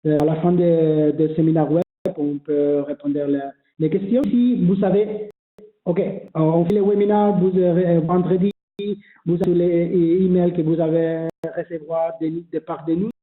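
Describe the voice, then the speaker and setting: A man's voice: on the high side, fairly even intonation, moderate pace. A man who is not a native French speaker, presenting a webinar and explaining online registration, hesitant with many fillers and restarts. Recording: echoey phone-like webinar audio.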